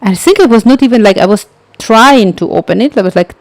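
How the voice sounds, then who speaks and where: A young woman hosting a livestream, chatting casually and telling a story with lots of filler words and halting phrasing. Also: on the high side, lively intonation, a little mellow, no echo. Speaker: livestream tutorial host.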